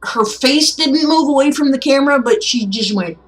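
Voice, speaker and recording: A woman's voice: high-pitched, lively intonation, moderate pace, slight background noise. A woman game streamer chatting casually as she reacts to a game.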